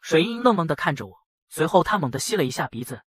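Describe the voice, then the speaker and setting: Male actor as brash young hero-narrator, animated intonation, husky, dry studio sound, dramatic motion-comic storytelling. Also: a little high, fast, dry recording, heavily acted. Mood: dramatic narrating. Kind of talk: dramatic first-person scripted narration.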